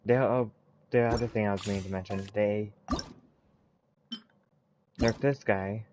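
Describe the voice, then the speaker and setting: A man giving casual gameplay commentary, haltingly thinking aloud as he goes. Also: lowish voice, steady tone. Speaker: gaming video creator. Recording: clear background noise, mouse clicks. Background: noise and clicks.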